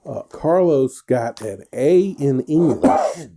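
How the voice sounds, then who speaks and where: A man's voice: medium pitch, expressive, slow, mellow. A middle-aged man hosting a casual radio interview, rambling and joking with plenty of restarts. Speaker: radio show host.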